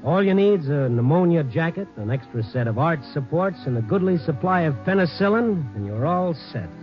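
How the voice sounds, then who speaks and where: A muffled vintage radio recording with music under it. A man acting as a world-weary city newspaperman tells his tale in a slightly high male voice, sardonic and hard-boiled. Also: animated, normal speed, natural voice. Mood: wry, sardonic. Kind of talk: 1950s radio drama narration.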